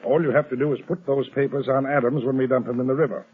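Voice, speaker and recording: Actor as husky, ruthless radio crook. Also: male voice, mid-range voice, steady tone, fast, close to an everyday voice. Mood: scheming, impatient. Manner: hard, commanding, scornful. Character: ruthless swindler, bossy, defiant criminal schemer. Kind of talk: 1930s radio drama acting. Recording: tinny narrow-band 1930s radio recording.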